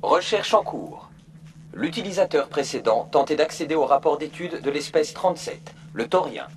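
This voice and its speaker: Man playing an impassive, higher-pitched computer assistant. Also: lively intonation, normal speed, a little hiss or hum, natural voice. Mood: impassive, procedural. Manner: formal, procedural, emotionless. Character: polite, impassive virtual assistant program at a corporate console. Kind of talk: French video game dub, formal information readout.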